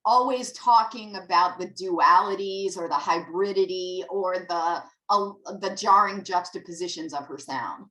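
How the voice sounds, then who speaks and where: A woman's voice: lowish voice, unhurried, hardly any pauses, bright, forceful tone. A woman talking casually during a video call discussion, with plenty of fillers. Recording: echoey room.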